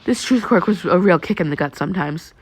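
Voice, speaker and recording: A woman's voice: fairly low pitch, lively intonation, brisk, slightly rough. A woman narrating fan fiction in the style of an amateur audiobook.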